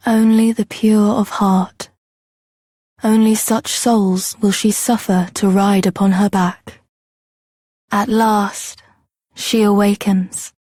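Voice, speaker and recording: An actress voicing a solemn, reverent shrine maiden in a fantasy video game, stately and incantatory. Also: female voice, mid-range voice, darkish tone, dry recording, clean studio sound. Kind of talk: video game character acting.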